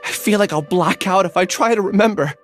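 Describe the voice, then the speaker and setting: An actor playing an anxious young student with amnesia, hesitant and apologetic. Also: male voice, sits high, fairly quick, natural voice. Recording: faint game music behind.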